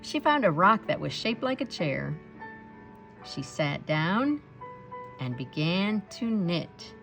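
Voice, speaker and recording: Slow, lively woman reading a storybook aloud. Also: lowish voice, vocal fry, soft background music. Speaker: storybook read-aloud reader. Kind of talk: expressive read-aloud narration for children.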